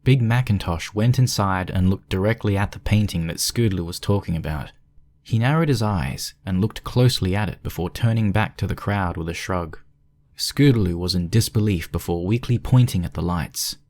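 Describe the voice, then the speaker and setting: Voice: low voice, normal speed, darkish tone. A narrator reading a scripted fan fiction story aloud.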